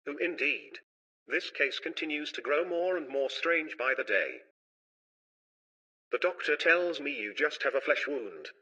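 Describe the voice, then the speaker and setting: A man's voice: on the high side, expressive, a little slow, sharp tone, exaggerated voice. A man playing a mocking, menacing police boss in an audio drama. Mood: brisk, sardonic. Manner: mocking, theatrical. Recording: dull, band-limited sound.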